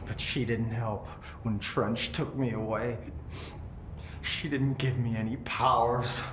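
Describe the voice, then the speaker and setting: An eerie possessed young American in a video game. He talks slowly, resentful and entranced, slipping into cryptic chant-like repetition. The room echoes around him over loud background ambience. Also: mid-range voice, fairly even intonation, a little hiss or hum. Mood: resentful, detached. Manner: slow, trance-like, sing-song repetition of cryptic phrases. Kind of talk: video game cutscene acting.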